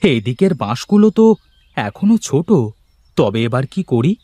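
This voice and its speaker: A man acting a plaintive poor villager, swooping pitch. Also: sing-song, normal speed, warm tone, no echo. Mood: musing, worried. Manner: lamenting, pleading. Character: poor, weary, plaintive village basket weaver and father. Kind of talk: Bengali fairy-tale cartoon voice acting.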